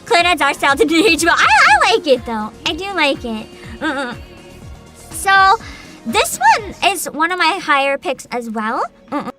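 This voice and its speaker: A woman's voice: high-pitched, big pitch swings, unhurried, over loud music. A woman hosting a livestream, chatting informally to her viewers and giving her opinions, often humming between thoughts. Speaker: livestream host.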